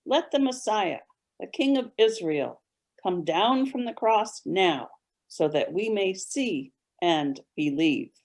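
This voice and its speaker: A woman's voice: mid-range voice, lively intonation, slow pace, a little mellow. A woman playing a scornful chief priest in a dramatised scripture reading. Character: scornful chief priests mocking a condemned man.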